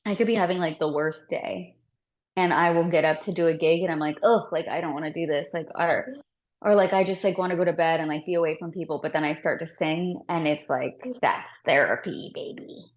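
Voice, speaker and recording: A woman's voice: deep voice, a little fast, dull audio. A woman singer from Florida, a podcast guest, chatting casually and animatedly, with frequent laughs and lots of "like.".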